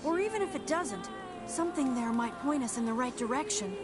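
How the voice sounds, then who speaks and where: A shy boy mage, high gentle voice, game cutscene, loud score behind. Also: sing-song, brisk. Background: loud game music.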